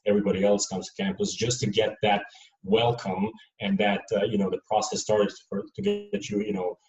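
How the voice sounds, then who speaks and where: A man from Serbia speaks as a panelist, in a measured, hesitant way with frequent fillers. His voice sits a little low. He is picked up from afar in a reverberant room, and the sound is muffled. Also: animated, a little mellow. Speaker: panelist, airline employee. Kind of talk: panel discussion answers. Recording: dull, roomy sound from a distant mic.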